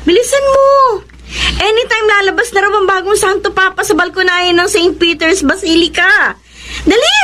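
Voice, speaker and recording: A woman's voice: very high voice, moderate pace, sharp tone, a little hiss or hum. An actress playing an emotional, guilt-ridden young mother working abroad in a melodramatic radio drama, with a Filipino accent. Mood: excited, hurried. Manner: melodramatic, tearful.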